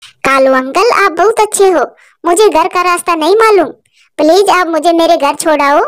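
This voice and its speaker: A woman's voice: very high-pitched, moderate pace, no echo, clean studio sound. A woman voicing an innocent, trusting little girl sparrow in a children's cartoon, polite and childlike. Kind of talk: Hindi children's cartoon dubbing.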